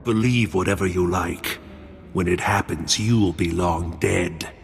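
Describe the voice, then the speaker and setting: Evil cyborg scientist for a game, theatrical and sneering, big echoing hall, music behind. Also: male voice, sits low, steady tone, measured pace, vocal fry, husky, exaggerated voice. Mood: threatening, boastful. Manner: theatrical, contemptuous, grandiose. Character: grandiose menacing male cyborg mad-scientist villain. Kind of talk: video game cutscene acting. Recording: long hall reverb over music.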